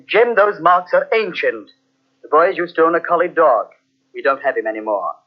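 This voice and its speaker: A secretive host who keeps soothing his guest and waving away every worry in a vintage radio mystery. His voice sits a little high for a man. It comes through a dull, muffled broadcast recording. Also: lively intonation, moderate pace. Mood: reassuring. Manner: smooth, reassuring, evasive. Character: secretive male host brushing off fears. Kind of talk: 1940s radio acting. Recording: dull old radio transcription.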